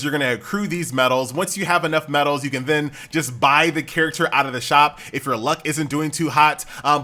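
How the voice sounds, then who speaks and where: A man's voice: a little high, sharp tone. An American man giving casual, chatty gameplay commentary in an informal, conversational way. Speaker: gaming YouTuber.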